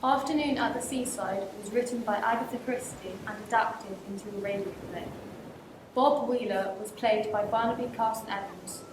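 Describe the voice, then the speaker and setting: A woman's voice: fairly high pitch, normal speed, roomy sound, background noise. A teenage girl reading the credits of a school radio play. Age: teens. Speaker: credits reader.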